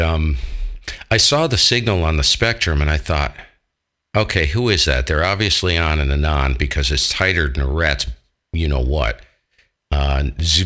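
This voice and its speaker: A man's voice: very deep voice, a bit bright. An American man chatting casually as an amateur radio operator, colloquial and jokey in manner.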